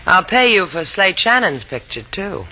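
An actress playing a sassy, flirtatious adventurer's sidekick with an American accent and a wry, teasing manner, in 1940s radio style. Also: female voice, on the low side, very animated, measured pace, bright, forceful tone, heavily acted, low-quality recording. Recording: old radio recording with faint noise.

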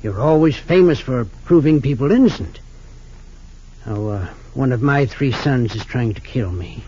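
Husky, suspicious old rich man. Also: medium pitch, animated, warm tone. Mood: earnest, uneasy. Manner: hesitant, earnest, slightly halting. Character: wealthy, suspicious 68-year-old father, bitter about his sons. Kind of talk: 1940s-50s radio drama acting. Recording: dull lo-fi old radio recording.

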